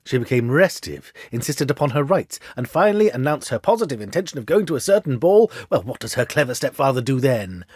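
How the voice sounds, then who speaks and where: A man voicing a shrewd, self-assured gentleman detective for a storybook, with a precise, theatrical, lecturing manner. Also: mid-range voice, very animated, brisk, hardly any pauses, slightly rough, no room sound, clean studio sound. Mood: scornful, explanatory.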